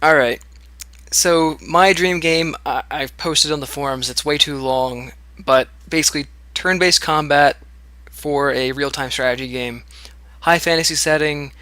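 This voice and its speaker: Bright, teasing male podcast co-host. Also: fairly high pitch, unhurried, no echo. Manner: teasing, upbeat. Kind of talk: casual podcast chat.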